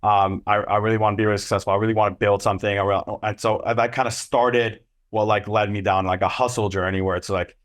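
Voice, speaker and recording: Young male guest, low-pitched, loose and rambling with lots of fillers. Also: flat delivery, quick pace, hardly any pauses. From Israel. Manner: loose and rambling, many ums and restarts. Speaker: agency co-founder and CEO. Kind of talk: casual interview answers.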